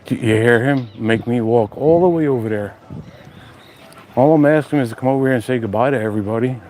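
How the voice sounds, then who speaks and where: A man's voice: medium pitch, brisk, a little mellow, slight background noise. Chatty New York man live-streaming outdoors. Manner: chatty, joking, addresses viewers directly. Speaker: outdoor channel host. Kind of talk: casual live-stream chat. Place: outdoors at a beach house.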